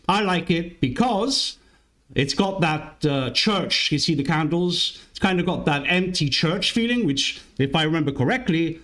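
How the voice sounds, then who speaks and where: A man's voice: a little high, a bit bright. A man whose first language is Italian hosts a music reaction channel, giving casual, musing commentary that often restarts mid-sentence. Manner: chatty and musing, with frequent restarts.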